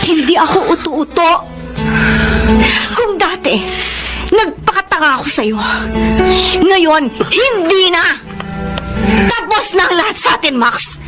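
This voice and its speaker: An actress playing a bitter, defiant mother in a Filipino radio drama, confrontational and scornful in her delivery. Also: very high voice. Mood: hurt, angry.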